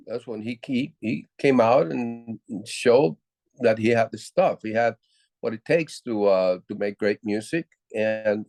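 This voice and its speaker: An older man from Puerto Rico with a low voice speaks in a relaxed interview. He circles back and restarts his sentences often as he recalls old stories. The sound is dry and close. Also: dry recording. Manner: halting, many restarts and fillers. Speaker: guest musician. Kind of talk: casual interview storytelling.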